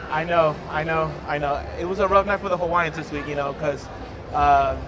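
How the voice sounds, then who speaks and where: A man's voice: on the high side, fairly even intonation, moderate pace, rough recording. A man hosting a casual interview in a casino, rambling with many fillers and restarts. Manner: rambling, lots of fillers and repeats. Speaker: interview host. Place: casino, busy public area. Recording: loud background noise.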